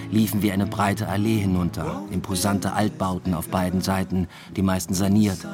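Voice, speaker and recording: Actor as low-voiced reserved young man narrating. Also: natural voice. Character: reserved young man recounting a new love affair. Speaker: narrator and lead character. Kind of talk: first-person radio drama narration.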